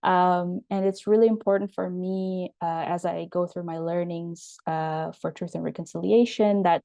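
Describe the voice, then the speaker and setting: A young woman with an Indonesian accent speaks as an interview guest, her voice low and warm. She talks in measured phrases, pausing often on ums and uhs. The sound is close and dry. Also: dry recording. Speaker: guest, writer and storyteller. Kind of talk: thoughtful interview answers.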